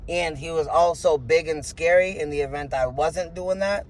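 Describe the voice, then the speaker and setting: A man's voice: a little high, normal speed, slight background noise, rough recording. Froggy-voiced man in his thirties. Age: 30s. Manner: rambling, frank, full of filler words. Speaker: interview guest, rapper. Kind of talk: candid interview answers.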